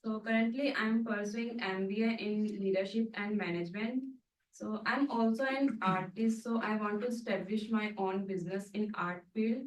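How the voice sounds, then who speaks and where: A woman's voice: mid-range voice, steady tone, normal speed. A woman, a graduate student plainly introducing herself to a class. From India. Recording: roomy, distant.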